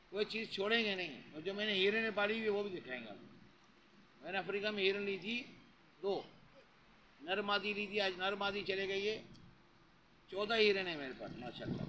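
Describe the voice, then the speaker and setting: A middle-aged man with a high voice, chatting to camera in an outdoor cattle yard. He repeats himself and strings together pious interjections as he pitches. The sound is a noisy outdoor phone recording with a small hand-held mic. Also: pushed and bright. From Pakistan. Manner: chatty, repetitive, frequent religious interjections. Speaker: cattle farm vlogger. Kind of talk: casual selling pitch to camera. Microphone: handheld lavalier mic held near mouth.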